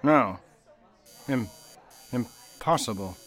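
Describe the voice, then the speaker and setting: A rattled male office manager in a radio play. He blusters and demands, easily panicked, with his pitch leaping around. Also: animated, drawn-out words, fairly bright tone, full character voice. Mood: panicked, disbelieving. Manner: blustering, stammering when rattled. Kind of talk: old-time radio play acting.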